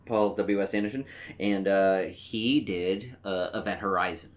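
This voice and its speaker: A man co-hosting a podcast, offering facts in casual chat in a halting, searching way. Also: low voice, fairly even intonation, slight background noise. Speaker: podcast co-host.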